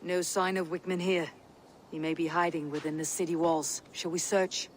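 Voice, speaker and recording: A loyal Viking warrior , played by a man with a somewhat high voice for a male. He is steady, terse and probing in a dramatic video game scene, with light ambient noise around the words. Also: normal speed, natural voice. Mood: alert. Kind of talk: dramatic game cutscene acting. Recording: noisy game audio with ambience.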